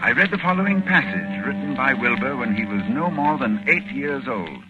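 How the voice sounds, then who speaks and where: Actor as grave scholar, wildly swooping delivery. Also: male voice, medium pitch, sing-song, close to an everyday voice. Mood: urgent, grave. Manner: dramatic, halting pauses and repeated words. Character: grave, horror-struck scholarly doctor telling his terrible tale. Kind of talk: 1940s radio drama acting. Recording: muffled 1940s radio, loud music.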